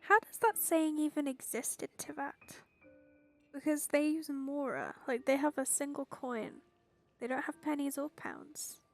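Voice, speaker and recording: A woman's voice: sits high, animated, unhurried. A woman game streamer giving casual playthrough commentary with wry, exasperated asides. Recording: game music behind the voice.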